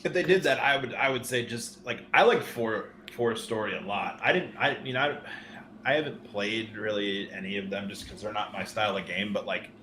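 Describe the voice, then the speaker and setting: Man, fairly low pitch, crisp bright tone, podcast panelist, relaxed conversational delivery. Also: moderate pace, slight background noise. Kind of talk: casual podcast chat.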